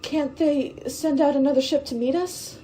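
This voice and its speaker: Actress as a very high-voiced, naive young stowaway. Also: female voice, steady tone, mellow, close to an everyday voice. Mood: innocent, uneasy. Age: young adult. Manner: naive, halting, pleading. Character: trusting, childlike young female stowaway facing death. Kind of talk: radio drama acting.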